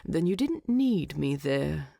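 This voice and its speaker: A woman's voice: deep voice, sing-song, slow, gravelly, warm tone, dry recording, clean studio sound, heavily acted. Woman putting on a drowsy, muttering male hobbit. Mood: sleepy. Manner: muttering, sleepy. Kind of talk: audiobook character voice.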